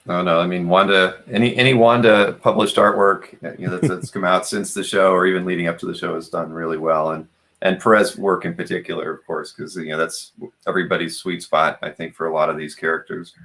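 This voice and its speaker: A man's voice: low voice, fairly even intonation, brisk, fairly bright tone. A man hosting a show, chatting casually with his guest. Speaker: host. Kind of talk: casual chat.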